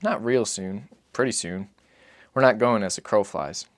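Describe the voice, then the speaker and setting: A man reading as a weary, patient father who gives terse, measured answers in an audiobook. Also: on the low side, no room sound, clean studio sound, lightly acted. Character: weary, patient father, guarded survivor answering his son.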